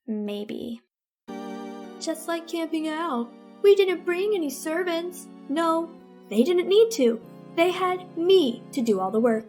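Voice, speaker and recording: A woman's voice: extremely high pitch, lively intonation, slow, a little mellow, exaggerated voice. A woman playing a bitter, scheming teenage girl in a melodramatic old-time radio suspense play, with music under her. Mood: bitter, resentful. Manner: melodramatic, resentful. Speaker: narrating lead in a radio melodrama.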